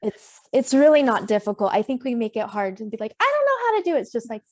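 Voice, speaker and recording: A woman's voice: a little high, sing-song, fast. A woman guest who is a feng shui specialist, talking in a chatty, rambling way full of fillers. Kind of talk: casual interview chat.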